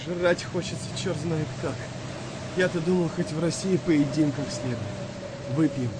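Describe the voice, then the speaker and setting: High male voice, actor playing frightened young wartime sailor, inquisitive, colloquial, excitable, audio play, noticeable ambient noise. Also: moderate pace, close to an everyday voice. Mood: grumbling, curious.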